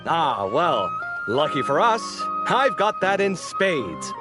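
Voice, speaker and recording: An actor playing a friendly, chatty young man in a video game, expressive and given to theatrical exasperation and sarcasm. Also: fairly high pitch, takes it slowly, over loud music. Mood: friendly, helpful. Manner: animated, expressive, apologetic then sarcastic.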